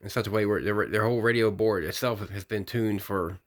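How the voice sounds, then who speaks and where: A man giving an unscripted hands-on product demonstration, rambling technically and thinking out loud as he hosts a hobby video. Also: lowish voice, fairly quick. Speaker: radio hobbyist video host.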